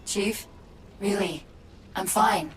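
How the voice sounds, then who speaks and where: An actress playing a quick-witted, wry and quietly vulnerable female AI companion in a video game cutscene. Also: medium pitch, a little hiss or hum, close to an everyday voice, rough recording. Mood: defensive, uneasy. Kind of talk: video game cinematic acting.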